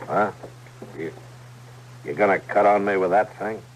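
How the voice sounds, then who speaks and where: Man playing a very deep, weary western lawman. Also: fairly even intonation, unhurried, natural voice. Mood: defiant, pained. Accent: American. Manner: terse, weary, dryly defiant. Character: wounded, stoic, sardonic Old West lawman. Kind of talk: old-time radio western acting. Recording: noisy vintage radio recording with hum.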